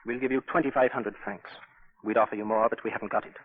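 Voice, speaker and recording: A man's voice: fairly low pitch, steady tone, fast talker, phone-quality sound, close to an everyday voice. An actor playing an earnest, anxious resistance man, formal and courteous in 1940s radio drama. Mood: pleading. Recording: thin, noisy vintage 1940s radio recording.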